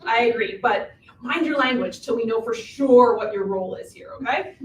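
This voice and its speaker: A woman acting a bossy, scheming, animated witch who insists on steering the story, in a live reading of a comic stage play. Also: a little high, normal speed, lightly acted. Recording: distant, echoey room recording with hiss.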